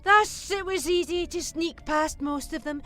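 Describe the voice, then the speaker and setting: A woman's voice: very high voice, a little slow, pushed and bright, faint music behind, full character voice. A woman playing a gleefully insane, murderous alien villainess in a theatrical fan audio drama, with an arch, flippant manner and dramatic stresses. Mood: gloating.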